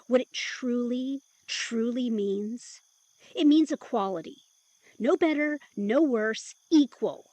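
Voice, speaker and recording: A woman's voice: on the high side, slow, darkish tone, no room sound. A woman playing an eager, playful, stubborn young woman in an intimate audio drama, perky and insistent. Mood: stubborn, earnest.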